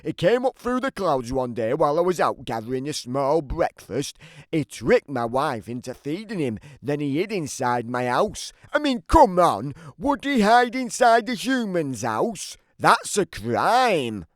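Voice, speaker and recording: Grumpy hungry giant, acted by a man; theatrical children's storybook read, fairly high for a man, close dry sound. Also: big pitch swings, a little slow, no room sound. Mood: aggrieved, grumbling. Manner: theatrical, emphatic storybook delivery. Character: grumpy, aggrieved, always-hungry big giant telling his side. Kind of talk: scripted children's storybook narration.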